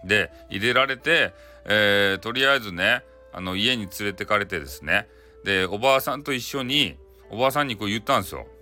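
A man's voice: fairly low pitch, animated, brisk, pushed and bright, faint music behind. A man with a Hakata accent telling a bedtime folktale in a casual, chatty, colloquial way. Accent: Hakata, Japan. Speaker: storyteller.

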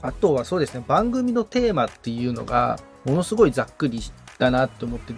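A man's voice: mid-range voice, expressive, quick pace, vocal fry, faint music behind. A man in a casual consultation as the podcast assistant, listening attentively, agreeing often and thinking aloud as he asks questions.

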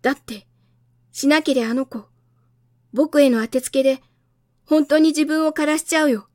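Naive little storybook prince played by a woman, high childlike boy voice, wistful, dry sound. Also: moderate pace, a little mellow, no echo, close to an everyday voice. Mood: worried.

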